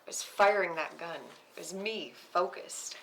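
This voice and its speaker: A woman's voice: on the low side, moderate pace, fairly bright tone, rough recording. A woman playing a brash, foul-mouthed, thrill-seeking friend in a screenplay table read, with casual, sassy banter. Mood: reflective. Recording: faint background noise.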